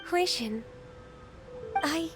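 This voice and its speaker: Gentle, dutiful secretary, highish voice. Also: female voice, animated, extremely slow, over music, full character voice. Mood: moved, earnest. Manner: soft-spoken, earnest, formal. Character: gentle, dutiful young-sounding ageless secretary woman. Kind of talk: video game voice acting.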